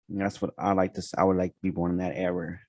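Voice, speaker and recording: A man's voice: sits low, a little mellow. A man hosting a casual interview, asking long rambling questions full of fillers and restarts. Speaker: podcast host.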